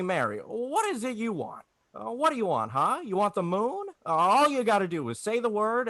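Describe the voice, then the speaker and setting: Casting: man as earnest small-town dreamer for vintage radio drama, high bright voice, halting and stammering. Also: dry recording, exaggerated voice. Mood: dreamy, eager. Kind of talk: 1940s radio acting.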